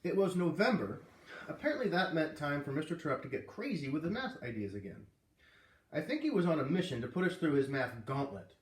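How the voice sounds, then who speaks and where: A man reading a children's novel aloud in an expressive, animated way, with casual asides. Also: a little high, a little fast. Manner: animated, puts on character voices. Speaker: read-aloud host. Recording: roomy, distant microphone.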